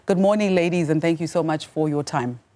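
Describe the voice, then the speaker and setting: Low-voiced woman news anchor. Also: brisk, warm tone. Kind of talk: studio news interview.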